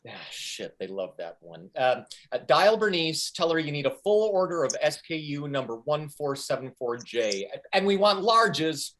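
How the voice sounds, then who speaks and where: A man's voice: fairly high pitch, big pitch swings, moderate pace, hardly any pauses, fairly bright tone. A man playing an edgy, foul-mouthed and sarcastic tour guide in withdrawal in a comedy table reading. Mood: harried. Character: brash, crude, edgy male factory tour guide in withdrawal. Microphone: video call. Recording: video call audio.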